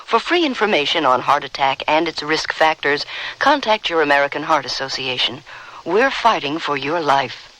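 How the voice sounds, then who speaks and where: Bright, forceful male public-service announcer. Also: on the high side, sing-song, normal speed, slight background noise, rough recording. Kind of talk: scripted health appeal.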